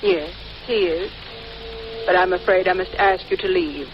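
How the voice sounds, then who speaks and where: An actress playing a haughty, suspicious middle-aged wife in a 1940s radio drama, speaking in a stiff, imperious way. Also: female voice, on the low side, unhurried, faint music behind, close to an everyday voice. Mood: frosty, formal. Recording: muffled old radio recording, faint noise.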